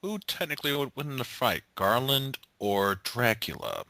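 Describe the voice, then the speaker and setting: A man's voice: medium pitch, big pitch swings, a little slow, pushed and bright, no echo. A man making casual quips in a group chat. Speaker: member of a gaming video group.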